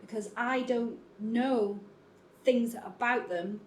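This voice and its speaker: A woman's voice: fairly high pitch, slow pace, slight background noise. A woman chatting candidly and self-deprecatingly in a group discussion. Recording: roomy room sound.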